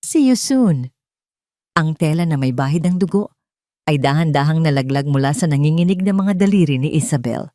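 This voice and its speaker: A woman's voice: low-pitched, fairly quick, dark tone, no room sound, studio quality. A woman delivering scripted, dramatic narration as the storyteller of an audio drama.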